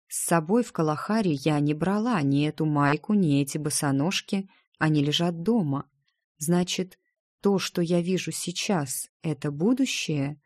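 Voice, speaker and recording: A woman's voice: deep voice, a little mellow, dry recording, clean studio sound. A woman narrating first-person fiction from a script, acting out the dialogue as she reads. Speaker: audiobook narrator.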